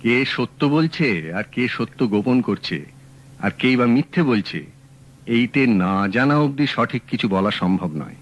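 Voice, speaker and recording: An actor playing a calm, shrewd male detective in a radio drama, talking in a measured, dry, matter-of-fact way. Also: mid-range voice, dull audio. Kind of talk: 1970s radio drama acting.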